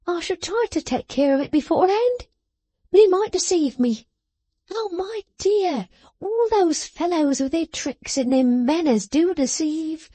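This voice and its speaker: Woman voicing a scolding girl, bossy, sharp, snapping, high lively voice, dry sound. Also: unhurried, no room sound. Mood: fierce.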